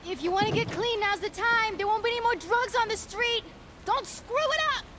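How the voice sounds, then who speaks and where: A woman's voice: extremely high pitch, a little fast, sharp tone, slight background noise. A young woman with an American accent acting a tough, sardonic vigilante with a dry, brash manner in a video game. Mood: fierce warning. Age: young adult.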